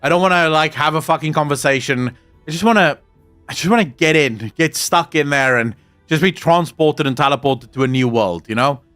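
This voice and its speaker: A young South African man, a native Afrikaans speaker, talks in English with an Afrikaans accent while he answers his live-stream chat. He rants, jokes and swears freely. His voice sits a little high, and soft music plays under him. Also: fairly quick, clean tone. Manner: profane, ranting and joking.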